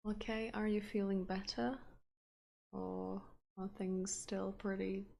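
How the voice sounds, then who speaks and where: A woman's voice: mid-range voice, level tone, slow pace, gravelly, warm tone. A woman with a Latvian accent chatting casually, answering messages aloud with a wry manner.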